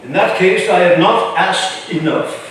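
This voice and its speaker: An actor playing an imperious, shrewd older colonial governor with a formal, wry, commanding manner in a staged reading. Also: male voice, high-pitched, measured pace, a bit bright. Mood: brisk, shrewd. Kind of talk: staged reading of a historical play. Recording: echoey room from far off, faint noise.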